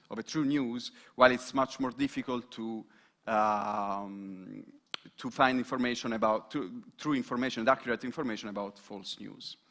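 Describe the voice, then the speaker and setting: Slow, halting man presenting research. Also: mid-range voice, fairly bright tone. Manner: hesitant, many fillers and restarts. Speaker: student presenter.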